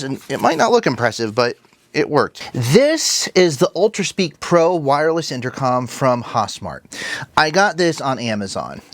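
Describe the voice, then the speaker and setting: A man's voice: mid-range voice, no echo. A man giving a casual, conversational product review to viewers, explaining things plainly as he goes. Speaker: tech reviewer, video host.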